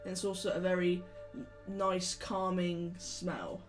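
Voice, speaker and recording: Woman running a tabletop rpg, lowish voice, describes stuff haltingly, music behind her. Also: steady tone. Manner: descriptive, halting and self-correcting. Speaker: game master. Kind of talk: narrating a tabletop game, improvised.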